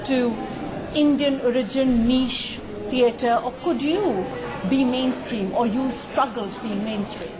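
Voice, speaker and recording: A woman interviewer with a high voice asks long, rambling questions and laughs often. A loud crowd fills the space behind her. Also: slow pace.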